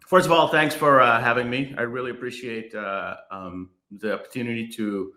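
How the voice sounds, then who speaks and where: A man from Guyana giving a keynote over a video call and demonstrating software, measured, with frequent fillers and restarts. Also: medium pitch, reverberant. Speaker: keynote speaker. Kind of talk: remote keynote presentation with demo. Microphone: computer mic on video call.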